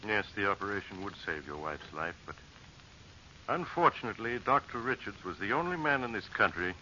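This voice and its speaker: A solemn doctor in a vintage radio drama. His low, forceful voice is formal and regretful, measured in delivery. The recording is muffled and hissy. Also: very animated, full character voice. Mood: grave, regretful. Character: grave male doctor breaking bad news to a husband. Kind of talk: 1940s radio drama acting. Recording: muffled, noisy old-time radio recording.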